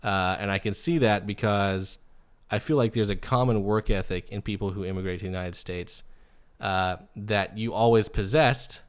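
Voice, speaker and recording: A man's voice: lowish voice, steady tone, moderate pace, few pauses, clear, resonant tone. A man in his thirties hosting a podcast interview, chatty and loose, with many fillers and restarts. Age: 30s. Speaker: podcast host. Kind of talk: casual interview.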